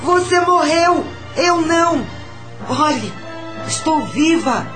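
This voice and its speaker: A woman playing the tormented, bitter ghost of a wronged mother in a slow, dramatic radio drama performance. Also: high voice, lively intonation, a bit bright, exaggerated voice. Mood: distraught, vengeful. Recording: music under the voice.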